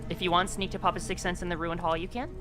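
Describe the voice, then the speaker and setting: A game master narrating a tabletop roleplaying game online in a halting, explanatory way. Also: mid-range voice, level tone. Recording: background music behind the voice.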